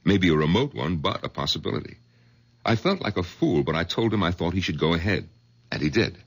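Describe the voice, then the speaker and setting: An actor playing a skeptical, exasperated middle-aged doctor in a 1970s radio drama. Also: male voice, sits low, very animated, fairly quick, slightly rough, full character voice. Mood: bewildered.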